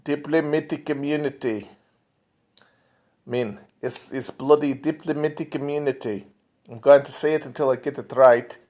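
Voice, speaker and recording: A man's voice: a little high, fairly even intonation, normal speed, a little mellow. A man rambling and swearing as he chats alone to a livestream, full of restarts and trailing asides. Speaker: podcast host answering chat. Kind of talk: casual livestream chat. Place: home, alone at a phone or computer.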